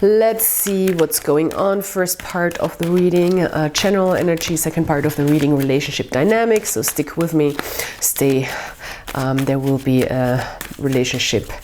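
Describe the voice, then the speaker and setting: A woman with a cold and a stuffy nose, giving a casual, unhurried tarot reading with many pauses and fillers. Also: sits low, slight background noise. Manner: dreamy, unhurried, lots of ums, warm reassurance. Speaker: tarot reader.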